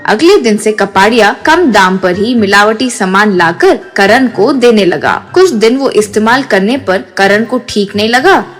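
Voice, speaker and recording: A woman's voice: mid-range voice, expressive, a little slow, few pauses. A woman reading a scripted moral story as narrator, with music behind.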